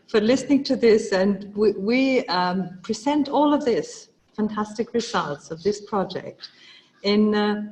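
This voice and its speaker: A woman's voice: mid-range voice, darkish tone. Enthusiastic woman hosting a webinar. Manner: enthusiastic, many fillers and restarts. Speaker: webinar host. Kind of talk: live webinar presentation. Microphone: video call.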